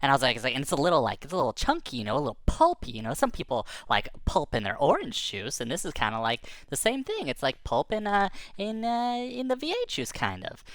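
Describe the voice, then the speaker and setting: A woman's voice: low voice, huge pitch swings, fast, few pauses, dry recording. A woman telling an improvised children's tale in a playful way, repeating phrases for effect. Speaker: storyteller.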